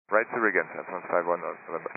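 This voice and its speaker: A man speaking haltingly as an airline pilot over aircraft radio to air traffic control. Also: low voice, vocal fry. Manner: halting, hesitant delivery. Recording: narrow, noisy cockpit radio transmission.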